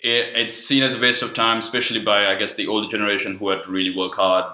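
A man's voice: fairly low pitch, little pitch movement, fast, bright, forceful tone. A young man from Sri Lanka, a guest chatting casually and loosely, with many fillers and frequent swearing. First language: Sinhala. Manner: rambling, lots of fillers and swearing. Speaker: guest artist. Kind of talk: casual podcast chat. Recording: echoey room.

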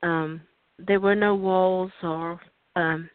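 An older woman from South Africa with a South African accent, a guest author telling her life story in a radio interview, unhurried and pausing with ums and restarts. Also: fairly low pitch, fairly even intonation, no room sound. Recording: dry tinny phone line.

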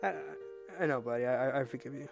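A man playing a calm, gently teasing father in an amateur family audio drama. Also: medium pitch, very fast, soft background music, close to an everyday voice. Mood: firm.

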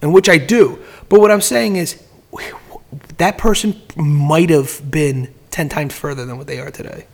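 A man's voice: a little high, measured pace, vocal fry. A man in casual podcast chat, giving business advice in a rambling way, often repeating words and restarting sentences.

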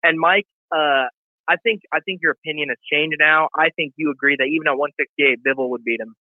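A man calling in to a boxing show, excited and boastful, rambling in casual chat. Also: on the high side, fairly quick, dry recording. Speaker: caller. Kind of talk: call-in chat. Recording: tinny phone line.

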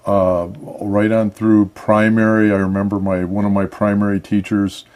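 Older male guest from Michigan, low and mellow, measured and reflective. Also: level tone. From Michigan, USA. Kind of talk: casual interview chat.

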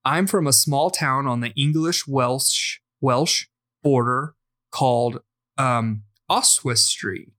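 A man's voice: medium pitch, slow, bright, forceful tone. Casual male podcast host. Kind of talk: casual podcast chat, reading out a listener message.

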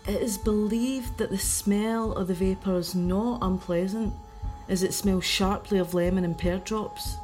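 A woman's voice: medium pitch, steady tone, measured pace, a little mellow, soft background music, close to an everyday voice. A woman with a Scottish accent acting in a radio drama as an anxious investigator. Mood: focused reading. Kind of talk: radio drama, reading research aloud.

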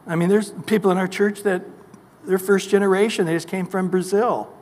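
A man's voice: high-pitched, steady tone, fairly quick, a little mellow, in a large hall. A male pastor preaching a sermon emphatically, asking the congregation rhetorical questions. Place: church.

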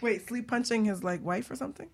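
A woman's voice: fairly high pitch, fast, creaky. A woman hosting a talk show, chatting casually with joking, giggly interjections. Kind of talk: casual chat.

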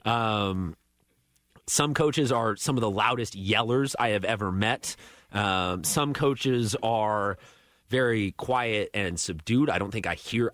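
A man's voice: fairly low pitch, a bit bright, dry recording, clean studio sound. A man hosting a casual sports radio show, joking and rambling with lots of fillers. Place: radio studio. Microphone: studio microphone.

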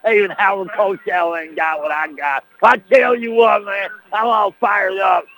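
A man's voice: high-pitched, steady tone, a little slow, creaky, bright, forceful tone, heavily acted, poor audio. A man voicing a boastful rural good-old-boy cousin who rambles folksily on a radio sports broadcast, peppering every phrase with "man" and "baby". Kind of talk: comic character bit on a sports broadcast.

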